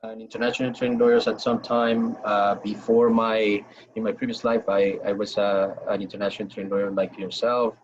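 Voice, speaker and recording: A man from Venezuela with a Venezuelan Spanish accent, explaining things haltingly as a webinar panel moderator and often repeating words. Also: on the low side, flat delivery, a little hiss or hum. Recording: dull lo-fi webinar audio.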